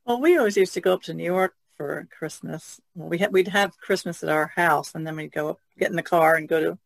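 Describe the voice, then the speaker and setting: Woman with a crackly, fry-laden voice. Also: fairly low pitch, fairly quick, dry recording. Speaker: podcast co-host. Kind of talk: casual chat.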